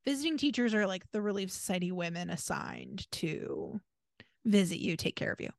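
A woman's voice: mid-range voice, expressive, normal speed, no room sound, clean studio sound. An American woman co-hosting a casual podcast, speaking in a wry, emphatic, opinionated manner. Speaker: podcast co-host.

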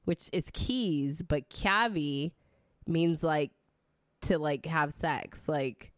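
A woman in her forties hosting a podcast over a video call, animated and laughing often as she tells stories; her first language is Italian. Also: deep voice, drawn-out words, dry recording, clean studio sound. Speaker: podcast host. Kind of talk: casual podcast chat.

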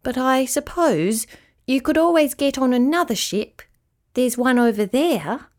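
A woman voicing a small, cheeky male sea rat in a storybook who talks lively and a bit smug. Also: high voice, unhurried, voice pushed up. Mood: chatty.

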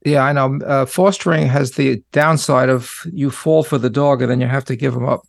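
A man co-hosting a radio talk show, talking in a relaxed, halting way with plenty of fillers. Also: mid-range voice, creaky, no room sound, studio quality.

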